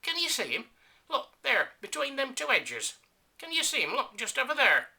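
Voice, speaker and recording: A man doing a shrill lieutenant. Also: moderate pace, vocal fry, heavily acted. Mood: excited. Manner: shrill, excited pointing and urging. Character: portly smelly male army lieutenant.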